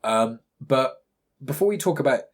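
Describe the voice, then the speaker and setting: A man's voice: medium pitch, animated, moderate pace. A man with a southern English accent chatting casually as a podcast co-host. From Southampton, England. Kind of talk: casual chat.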